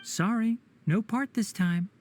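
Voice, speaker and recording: A man's voice: high-pitched, slow, noisy background. A man voicing a young, knowledgeable forest ranger in a video game, precise and explanatory with a dry, practical wit. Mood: practical, measured. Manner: precise, explanatory, dryly wry.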